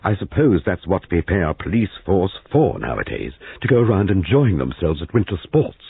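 A man voicing a snobbish, disapproving older woman in an audiobook, acerbic and booming. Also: sing-song, brisk, gravelly, breathy edge, no room sound, heavily acted. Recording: muffled phone-like audio.

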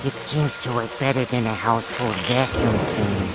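An actor playing a gloomy, hulking monster butler in a cartoon game, speaking in a formal, servile and droll way. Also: male voice, mid-range voice, moderate pace, heavy background noise, lightly acted. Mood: dutifully gloomy.

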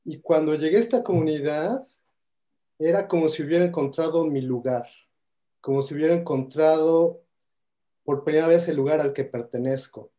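A man in his thirties with a Mexican accent gives an earnest, heartfelt toast. He speaks into a headset on a video call. His voice is mellow and a little high. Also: moderate pace. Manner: earnest, heartfelt, warm thanks. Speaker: guest giving a toast. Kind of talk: spoken toast at an online awards event. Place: home room on a video call.

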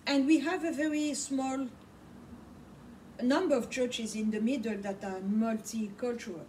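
A woman's voice: on the high side, steady tone, a little slow, a little mellow. Impassioned woman preaching from across a room. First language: Swahili. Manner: impassioned, repeats words for emphasis, gestures a lot. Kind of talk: preaching monologue to camera. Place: small home study with bookshelves, indoors. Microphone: camera mic from across the room. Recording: distant mic with faint room noise.

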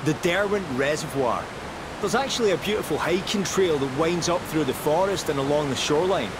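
A man's voice: on the high side, fairly quick, natural voice. Man playing a wry British guide, noisy backdrop. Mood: relaxed, wry. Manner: conversational, wry. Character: friendly, laid-back male outdoorsy local guide. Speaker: in-game tour guide character. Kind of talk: scripted casual narration.

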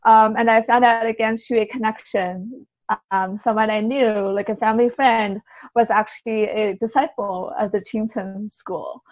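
A woman speaking as an interview guest, casually recounting experiences in a halting, think-aloud way. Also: a little high, steady tone, dry recording, highs cut off. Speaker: podcast guest, writer and translator.

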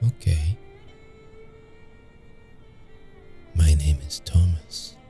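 A deep-voiced, brooding male vampire, acted by a man for an ASMR roleplay, wounded yet seductive. He speaks in a dark, mellow tone with heavy gravel, keeping it intimate and guarded. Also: fairly even intonation, soft background music, heavily acted. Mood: coaxing, intimate. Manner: slow, halting, intimate delivery. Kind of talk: ASMR audio roleplay acting.

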